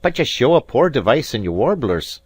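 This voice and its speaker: A man reading aloud and voicing a mocking, mock-courteous Highland rival piper in a voice. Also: big pitch swings, moderate pace, slightly rough, dry recording.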